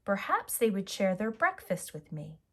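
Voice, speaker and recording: A woman's voice: medium pitch, very animated. A woman voicing a curious, picky little girl who exclaims in a children's storybook. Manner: drawn-out, exclaiming complaints.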